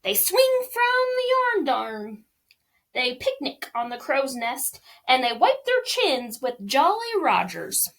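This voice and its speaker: A woman voicing a gruff, bossy old male pirate captain in a storybook reading. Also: high voice, big pitch swings, slow, fairly bright tone, heavily acted. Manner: growling, blustery.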